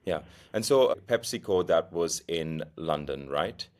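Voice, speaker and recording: A young man hosts an interview podcast in a very low, deep voice. He asks his guest steady, measured questions and keeps a calm conversational pace. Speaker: podcast host. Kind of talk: interview hosting.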